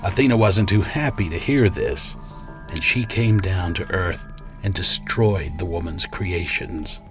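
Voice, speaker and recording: A man's voice: low voice, music in the background. A man playing a domineering, menacing scientist tycoon in a radio drama, speaking in an imperious, sardonic way. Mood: ominous storytelling. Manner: imperious, deliberate storyteller, sardonic.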